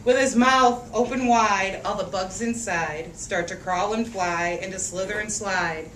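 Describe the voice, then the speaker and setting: Woman reading a storybook in an echoey room. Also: mid-range voice. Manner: expressive, rhythmic reading with drawn-out phrases and questions to children. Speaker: teacher reading a picture book to young children. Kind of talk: interactive storybook read-aloud. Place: echoey room with a group of children. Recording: echoey room, distant mic, faint noise.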